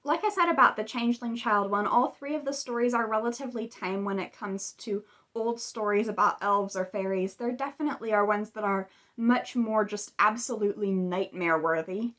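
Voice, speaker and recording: A woman's voice: medium pitch, a little fast, few pauses, a bit bright. A woman hosting a video, retelling stories in a casual, chatty way with conversational asides. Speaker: video host. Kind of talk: casual video commentary retelling fairy tales.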